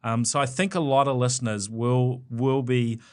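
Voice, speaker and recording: A man's voice: medium pitch, fairly even intonation, moderate pace, clean tone. A man with a New Zealand accent hosting a podcast interview, casual and loose, with many fillers and restarts. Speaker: podcast host.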